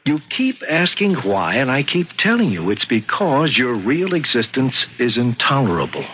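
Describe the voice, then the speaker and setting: A man's voice: mid-range voice, big pitch swings, moderate pace, fairly bright tone. An actor playing a probing psychiatrist who lectures and challenges his patient, in an old-time radio mystery style. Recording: muffled vintage radio recording with noise.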